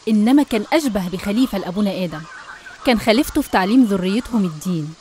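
A woman's voice: mid-range voice, animated, racing, warm tone, slight background noise. A woman with an Egyptian accent reading scripted religious narration.

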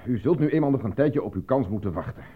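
A man's voice: mid-range voice, animated, fast talker, breathy edge. A man acting as an evasive, formal casting agent who talks down to a client in a radio drama. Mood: patronizing, evasive. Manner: formal, condescending, bureaucratic. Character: evasive, patronizing male casting agent stonewalling an unemployed actor. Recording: thin phone-like old radio recording.

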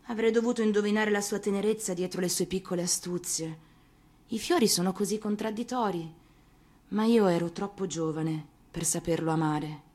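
A woman playing a gentle, earnest young prince in a storybook, speaking in a simple, confiding manner. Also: mid-range voice, moderate pace, lightly acted. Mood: regretful, tender.

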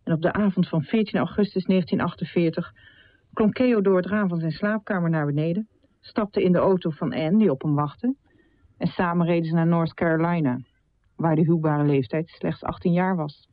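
Actress as measured female narrator, tinny radio sound. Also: on the low side, no room sound, natural voice. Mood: composed. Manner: measured storytelling. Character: female biographical narrator telling a poet's life. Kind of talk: scripted radio drama narration. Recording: thin, band-limited dry radio recording.

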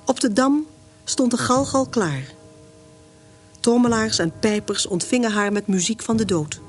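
A woman narrating a historical radio drama as a composed storyteller, measured and literary in her scripted delivery. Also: a little high, soft background music, natural voice.

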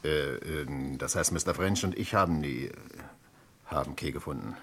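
An actor playing a nervous, plain-spoken neighbour as a witness in an old German radio crime drama, halting and colloquial yet emphatic. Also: male voice, rumbling low. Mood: shaken, hesitant. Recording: vintage radio play with clear background noise.

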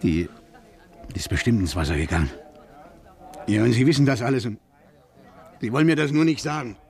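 Ein Schauspieler spielt in einem Krimihörspiel der 1950er einen misstrauischen, von Schuld geplagten Mann, der grüblerisch und stockend spricht. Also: male voice, medium pitch, lively intonation, brisk, darkish tone, natural voice. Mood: guilt-ridden, despairing. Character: distraught, suspicious, guilt-ridden man searching for his fiancée. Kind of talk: 1950s German radio crime drama acting.

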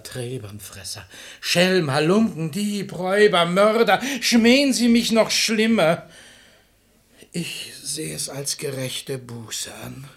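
A man playing a sanctimonious, lustful hypocrite who feigns humble piety, declaiming verse unctuously in a radio play. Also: medium pitch. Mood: theatrical self-abasement. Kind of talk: German radio play of classical verse comedy.

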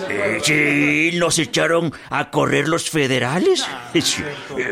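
An actor playing a veteran revolutionary fighter who reminisces with chuckles and stammers in a radio drama. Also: male voice, medium pitch, gravelly, heavily acted. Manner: chuckling, halting, stammering storytelling.

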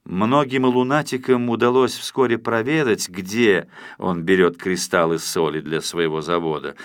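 A man reading a children's story as a scripted audiobook narrator, in an expressive, measured storytelling manner. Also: medium pitch, dry recording, studio quality.

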